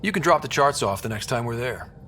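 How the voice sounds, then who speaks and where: A man's voice: medium pitch, animated, brisk, bright, forceful tone, a little hiss or hum. An actor playing an easygoing young male space explorer in a sci-fi game, with a casual, dry, understated delivery. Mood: wry. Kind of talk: naturalistic sci-fi game acting.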